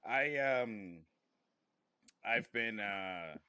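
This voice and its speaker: A man's voice: fairly low pitch, lively intonation, very slow, bright, forceful tone, slight background noise. A man hosting a podcast, chatting casually and informally with some swearing. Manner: informal, profane. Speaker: podcast host.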